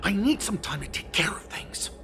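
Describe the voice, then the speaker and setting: A man's voice: high voice, big pitch swings, normal speed, in a whisper, music in the background. A man playing an irritable, harassed villainous conspirator in a video game. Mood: scolding.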